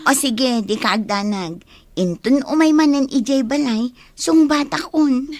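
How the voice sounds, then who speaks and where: A woman's voice: sits high, slow pace. A woman playing a coy, teasing young sweetheart in an Ilocano radio comedy drama, with an Ilocano accent.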